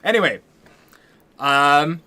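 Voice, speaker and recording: A man co-hosting a casual livestream Q&A, hoarse from a cold and losing his voice, talking in a rambling way with many ums and restarts. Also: on the high side, animated, slow, pushed and bright. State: losing his voice, a little sick. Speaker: co-host of a livestream Q&A.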